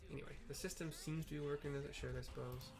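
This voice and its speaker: A man casually narrating gameplay and describing the scenes for blind viewers, in loose, halting bits. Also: a little high, fairly even intonation. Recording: background music playing under the voice. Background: music from a playlist.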